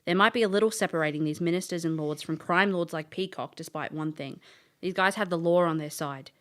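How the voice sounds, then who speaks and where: A woman's voice: lowish voice, fairly quick, a bit bright, natural voice. An actress playing a world-weary female elf, a former thief, dry and blunt in an audio drama. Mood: stern, matter-of-fact. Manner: dry, blunt, matter-of-fact.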